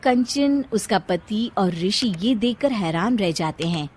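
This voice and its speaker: A woman's voice: mid-range voice, expressive, slow, noisy background. A woman narrating a scripted moral story. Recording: loud music behind the voice.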